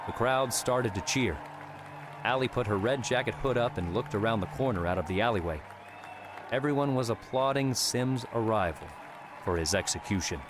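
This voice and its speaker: A man narrating an audiobook in a measured, steady reading. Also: medium pitch, a crowd in the background, background noise. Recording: narration over background music. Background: music.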